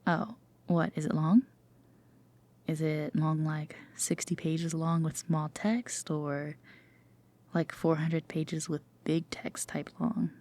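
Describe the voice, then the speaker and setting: A woman playing a gentle, teasing, affectionate girlfriend in an intimate sleep-aid roleplay, with a soft, breathy half-whisper and a tender manner. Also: low-pitched, level tone, takes it slowly. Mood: caring, hesitant. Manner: hesitant, tender, gently playful.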